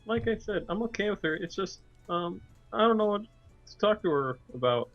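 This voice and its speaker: A man's voice: high-pitched, lively intonation, normal speed, a bit bright, lo-fi sound, soft background music. A man voicing a young schoolgirl, casual and a bit defensive, reading visual novel lines aloud. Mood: hesitant, casual.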